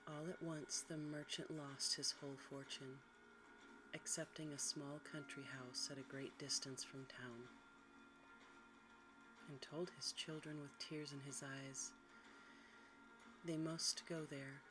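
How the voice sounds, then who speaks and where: A quiet, soft-spoken narrator reading a bedtime story in a hushed ASMR style. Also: medium pitch, level tone, unhurried, long pauses, over music. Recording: clearly noisy recording with background ambience.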